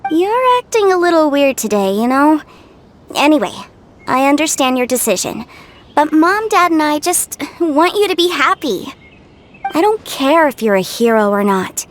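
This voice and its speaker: An actress playing a caring, lively older sister with a brisk way of talking. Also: female voice, sits high, a little hiss or hum. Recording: game soundtrack behind.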